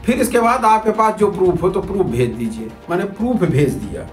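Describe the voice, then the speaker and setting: A man's voice: high voice, sing-song, a little fast. A man giving informal, argumentative commentary to viewers, repeating himself and posing rhetorical questions. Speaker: citizen journalist presenting a video report. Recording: roomy echo with music underneath.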